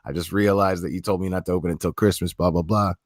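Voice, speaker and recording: Young male streamer, very low voice, dry close mic, loose rambling delivery. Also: steady tone, rapid-fire, dry recording. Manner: rambling, repeats words, swears casually. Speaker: livestream host. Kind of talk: casual livestream chat.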